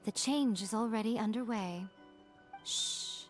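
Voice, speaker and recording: A woman's voice: a little high, measured pace, soft background music, natural voice. A woman voicing a poised, sly, confident female schemer in a video game, composed and teasing. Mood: knowing, amused.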